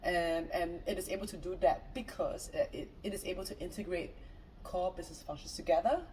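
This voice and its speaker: A woman's voice: on the low side, fairly even intonation, a little fast, darkish tone, far from the mic, slight background noise, low-quality recording. A woman from Singapore as a guest in a casual interview chat, upbeat and friendly with frequent fillers.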